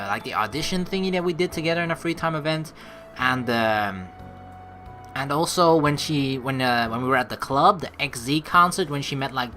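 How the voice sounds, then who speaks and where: A woman's voice: low voice, fairly bright tone. A woman hosting a let's play with casual, rambling and informal commentary, over game music. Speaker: let's player, host. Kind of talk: casual gaming commentary, reading game text aloud. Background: game music faintly behind the voice.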